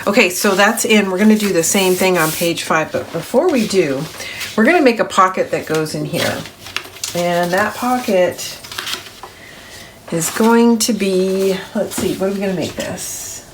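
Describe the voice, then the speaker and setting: A middle-aged woman, a little gravelly from grogginess, hosting a papercraft tutorial in a chatty, step-by-step way. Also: medium pitch, animated, measured pace, a little hiss or hum. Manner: chatty, step-by-step, self-correcting. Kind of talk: crafting tutorial voice-over.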